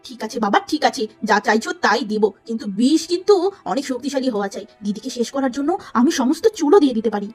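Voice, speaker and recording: A woman voicing a vain, selfish younger sister who talks in a shrill, bossy, scornful way. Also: animated, brisk, darkish tone, breathy edge, faint music behind. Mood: scheming.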